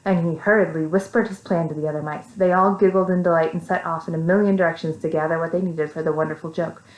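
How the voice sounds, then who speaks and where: A woman's voice: lowish voice, fast talker. American woman reading stories in echoey room. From Texas, United States. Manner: animated, simple, child-directed. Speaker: children's story reader. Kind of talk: storytelling to young children, with casual asides.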